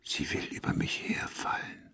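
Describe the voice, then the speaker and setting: A man playing a brooding, unhinged madman in a German audio drama, slow and intense, with a husky voice. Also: sharp tone, dry recording, whispered, lightly acted. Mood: fearful, hushed.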